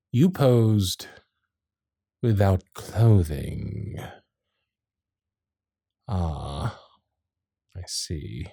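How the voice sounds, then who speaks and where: Victorian gentleman suitor, acted by a man, very animated intonation, close dry sound, formal measured phrasing, protective, romantic audio roleplay. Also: mid-range voice, dry recording. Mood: probing, surprised. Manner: formal, old-fashioned, measured phrasing with dramatic pauses. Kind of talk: romantic period ASMR roleplay, intimate one-sided dialogue.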